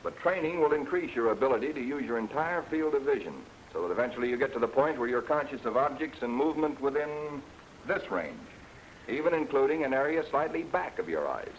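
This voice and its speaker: A man's voice: mid-range voice, lively intonation, fairly quick. An American man gives plain-spoken, explanatory 1940s instructional film narration as a flight instructor, addressing the learner directly. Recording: noisy 1940s film soundtrack, aircraft drone.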